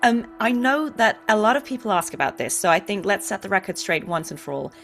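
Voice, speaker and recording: A woman's voice: mid-range voice, sing-song, fairly quick, a bit bright. A woman hosting an interview show in a casual, conversational style. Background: faint music.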